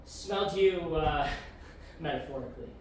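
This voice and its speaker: A man's voice: fairly high pitch, a little slow, a little mellow, close to an everyday voice. A man playing an evasive, nervous otherworldly agent in a science fiction audio drama, hesitant and stammering. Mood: evasive, uneasy. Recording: very echoey room, distant mic, faint noise.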